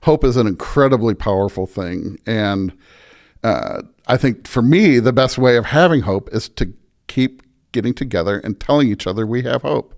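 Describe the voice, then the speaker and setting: A man's voice: medium pitch, lively intonation, normal speed, vocal fry, a little mellow. An American man speaking as a pastor in an interview, reflective and earnest, with emphatic, preacher-like repetition. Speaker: pastor, podcast guest.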